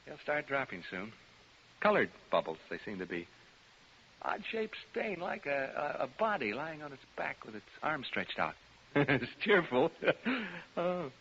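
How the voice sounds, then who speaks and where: A man's voice: on the high side, big pitch swings, a little slow, pushed and bright, exaggerated voice. An actor playing a nervous radio DJ who rambles and stammers as he cracks up in a haunted house, in 1940s radio style. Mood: anxious, morbid. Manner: rambling, stammering, repeating himself. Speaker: radio announcer. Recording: hissy, muffled vintage 1940s radio recording.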